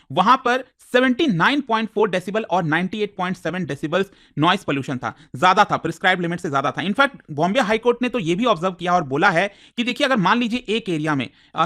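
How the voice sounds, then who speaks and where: A man's voice: high-pitched, sharp tone. A man with an Indian accent presenting explanatory news commentary in a didactic way, posing questions and then answering them.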